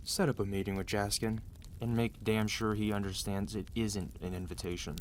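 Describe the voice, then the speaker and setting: Low-voiced, weary mafia boss played by a man. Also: little pitch movement, normal speed, slight background noise, lightly acted. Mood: stern, businesslike. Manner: tired, authoritative, dry, intimate. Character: weary, protective mafia boss husband, commanding yet gentle. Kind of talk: ASMR roleplay acting.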